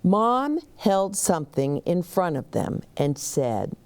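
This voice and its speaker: A woman hosting a children's story time, reading aloud expressively and speaking straight to young listeners. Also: lowish voice. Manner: expressive, deliberate, addressing young listeners directly.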